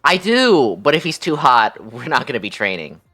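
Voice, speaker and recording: A man's voice: mid-range voice, very animated, moderate pace, bright, forceful tone, faint music behind. A man chatting casually on a livestream in a sassy, campy, slangy way peppered with swearing. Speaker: live streamer.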